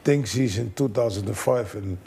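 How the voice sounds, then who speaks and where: A man, a fight trainer, telling animated stories in broken English as an interview guest. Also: lowish voice, moderate pace, creaky, slightly rough. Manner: animated storyteller, broken English, acts out quotes. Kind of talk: casual storytelling interview.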